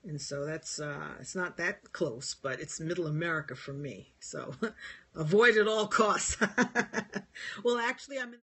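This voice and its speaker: New york accent, husky breathy voice, healer rambling gently on a phone live stream, chatting back to viewers. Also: mid-range voice, expressive, unhurried. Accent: New York area. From New York and New Jersey. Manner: meandering, gentle, laughs often. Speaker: spiritual healer and Reiki teacher. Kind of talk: live-stream talk answering viewer comments.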